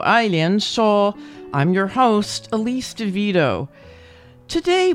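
A woman hosting a radio programme in a studio, interviewing guests in an enthusiastic, encouraging way. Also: mid-range voice. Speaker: radio show host.

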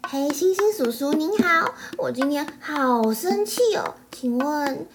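A woman's voice: high voice, expressive, measured pace, noisy background. A woman voicing an angry, childlike little boy monkey, polite and whiny, in a children's story. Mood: polite, pleading.

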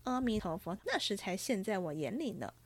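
A woman voicing a lively young girl with a lisp in a storybook reading, bantering playfully. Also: mid-range voice, a little fast, slightly rough, dry recording.

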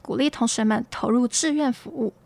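A woman's voice: a little high, fairly quick, creaky, darkish tone. A young woman with a Taiwanese Mandarin accent reading scripted campus news as a student presenter. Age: young adult.

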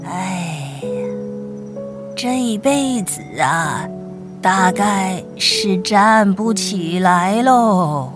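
A woman's voice: medium pitch, expressive, very slow. A woman playing a gossipy, worldly shop elder in an audio drama, drawling with sighs and hesitation. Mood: gossipy, musing. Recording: music under the voice.

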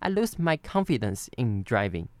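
Clear-voiced Taiwanese man telling a story. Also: medium pitch, sing-song, normal speed, dry recording. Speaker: podcast co-host. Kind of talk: semi-scripted personal storytelling.

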